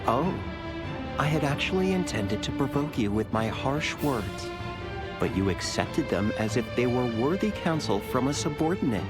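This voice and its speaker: An actor playing a shrewd, slightly arrogant strategist in a video game, with polite and self-assured delivery. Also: male voice, medium pitch, very animated, moderate pace, natural voice. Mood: confident, playful. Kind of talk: dramatic video game cutscene acting. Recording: loud background music under voice.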